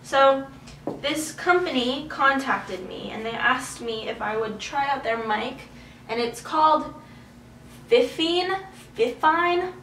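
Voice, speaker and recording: A woman's voice: high voice, expressive, takes it slowly, a bit bright, a little hiss or hum. A woman vlogger talking candidly and emotionally to camera, swearing casually. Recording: roomy echo, heard from a distance.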